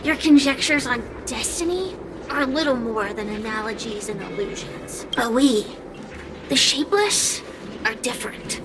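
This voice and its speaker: A woman's voice: fairly high pitch, measured pace, noisy background, close to an everyday voice. A woman playing a sly, menacing female fire spirit in a video game, theatrical and condescending. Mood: disdainful, grand. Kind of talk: video game cutscene voice acting. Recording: game music under the voice.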